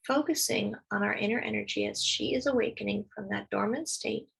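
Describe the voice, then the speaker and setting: A woman leading a guided meditation, speaking slowly and soothingly as she gives gentle instructions. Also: medium pitch, vocal fry, husky, poor audio.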